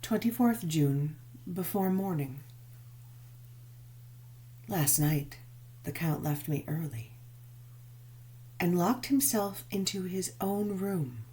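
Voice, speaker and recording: A woman reading a gothic novel as dramatic narration, measured and expressive, with drawn-out emphasis. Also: low voice. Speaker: audiobook narrator.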